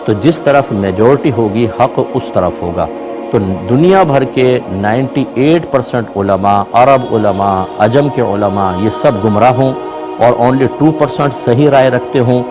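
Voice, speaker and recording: A man speaking as an Islamic scholar, answering a religious question in a measured, explanatory way, point by point. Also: on the low side.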